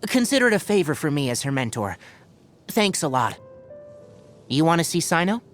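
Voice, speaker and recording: A man voicing a wry, caring young forest ranger with fox ears in a video game, articulate and gently didactic, with a dry delivery. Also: on the high side, sing-song, moderate pace, soft background music. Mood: earnest, advising. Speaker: voice actor. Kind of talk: scripted video game character voice lines.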